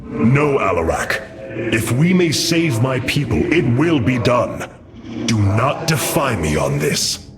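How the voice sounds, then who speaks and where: A man's voice: medium pitch, sing-song, slow, over music. An actor playing a noble, resolute male alien commander in a video game, grave, formal and commanding. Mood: determined. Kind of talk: dramatic video game voice acting.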